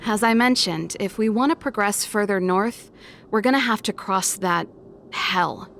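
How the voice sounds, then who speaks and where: A woman's voice: mid-range voice, unhurried, vocal fry, fairly bright tone, slight background noise. A woman playing a confident, theatrical rebel performer in a video game, assured and eager for battle. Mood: determined, showy. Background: game music.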